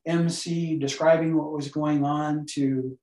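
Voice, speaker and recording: A man with a fairly high voice speaks earnestly, stringing items into lists as he explains. He is recorded in a room with a noticeable echo. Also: flat delivery, takes it slowly, mellow. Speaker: guest, co-author. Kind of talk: podcast interview.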